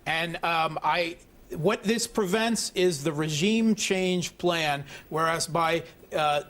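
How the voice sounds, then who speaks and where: A man's voice: on the high side, slow pace, a bit bright. A man giving assertive analysis as a guest in a political panel discussion, often restarting his phrases.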